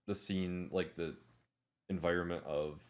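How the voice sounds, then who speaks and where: A man co-hosting a podcast, discussing films casually in a measured, hedging, conversational way. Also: sits low, fairly even intonation. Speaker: podcast co-host.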